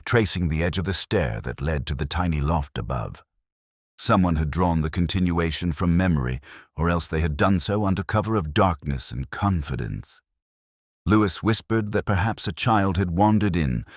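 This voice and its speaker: A man narrating a first-person detective story in a measured, brooding, literary manner. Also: rumbling low, no room sound, clean studio sound.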